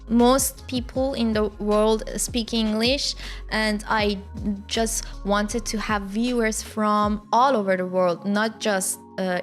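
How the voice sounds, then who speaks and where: A woman whose first language is Persian talks to her viewers in English with a Persian accent. Her voice sits on the higher side, and she answers questions casually, stopping and restarting with lots of fillers. Soft music plays faintly behind her. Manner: chatty and hesitant, with frequent fillers and restarts. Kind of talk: casual Q&A to camera.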